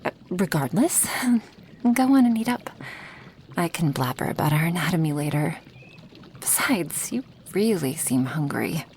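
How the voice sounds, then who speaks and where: A woman voicing an affectionate female dragon who brews potions, in a soft, intimate ASMR roleplay with breathy half-whispers and sighs. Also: deep voice, lively intonation, unhurried, a little hiss or hum. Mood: tender, teasing. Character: affectionate female dragon, a potion maker tending her human guest.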